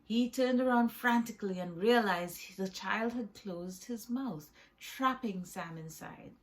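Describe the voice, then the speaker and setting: A woman's voice: mid-range voice, unhurried. A woman reading her own children's book aloud as a storyteller, with short asides to the listener.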